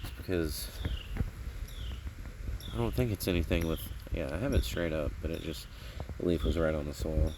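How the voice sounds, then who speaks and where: A man's voice: a bass voice, steady tone, normal speed, noisy background. A man casually narrating hands-on garden work outdoors, rambling and thinking aloud as he goes, recorded on a handheld camera. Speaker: gardening vlogger.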